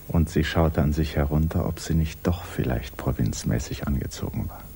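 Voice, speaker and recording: A man narrating a 1950s German literary radio drama with measured, intimate storytelling. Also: very deep voice, vocal fry, mellow. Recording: hissy 1950s radio recording.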